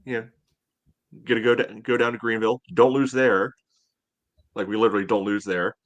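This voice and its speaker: A man with a fairly low voice talks sports as a podcast panelist. His delivery is conversational, dry and sardonic. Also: fairly even intonation, brisk, lo-fi sound. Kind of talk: casual sports chat.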